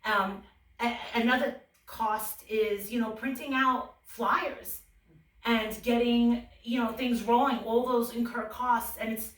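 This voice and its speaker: A woman's voice: on the high side, steady tone, takes it slowly, fairly bright tone, far from the mic. A woman giving casual, heartfelt answers in an interview. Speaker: online video creator, interviewee. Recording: echoey, a little off mic.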